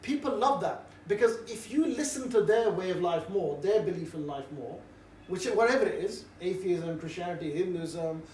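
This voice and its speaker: A middle-aged man, giving a relaxed, jovial briefing to a group and mixing in cheeky banter. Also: sits high, moderate pace. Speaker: street preaching trainer. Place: indoor room with a group. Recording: roomy echo, distant mic, faint background noise.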